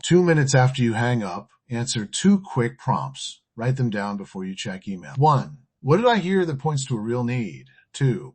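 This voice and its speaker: A man's voice: mid-range voice, husky. A man hosting a podcast, giving scripted motivational teaching in short, emphatic, earnest phrases. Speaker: podcast host.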